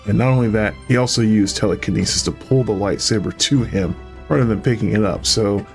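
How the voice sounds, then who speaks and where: A man's voice: lowish voice, fairly quick, vocal fry, soft background music. A man hosting a casual solo reaction vlog, talking through his impressions as a reviewer. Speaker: YouTube host giving episode reactions.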